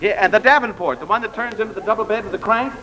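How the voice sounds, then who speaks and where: An actor playing an eager, earnest young office clerk in a 1940s radio drama. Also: male voice, high-pitched, rapid-fire, bright, forceful tone. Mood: elated. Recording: vintage 1940s radio broadcast.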